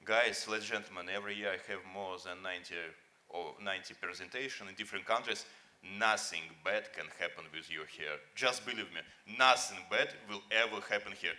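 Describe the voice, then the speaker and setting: A man's voice: low-pitched, brisk, sharp tone. A man on a conference panel whose first language is Russian, speaking earnestly and encouragingly in slightly broken English. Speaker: panelist, company founder. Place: conference hall stage.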